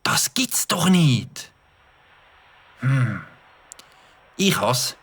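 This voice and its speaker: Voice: mid-range voice, sing-song, drawn-out words, fairly bright tone, slightly rough, full character voice. An actor voicing a fed-up young male beaver in a cartoon, grumbling. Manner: grumbling, exasperated.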